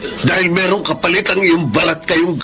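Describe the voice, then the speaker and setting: A man's voice: sits high, fairly even intonation, normal speed, music in the background. An actor playing an angry, wounded, stubborn old father in a Filipino radio drama, speaking with confrontational indignation. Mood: furious.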